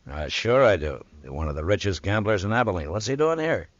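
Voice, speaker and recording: An actor playing a steady, dry-humored frontier marshal in an old-time radio western, with laconic, wry delivery. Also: male voice, on the low side, brisk, no room sound. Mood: wry, easygoing. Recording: dull vintage radio recording.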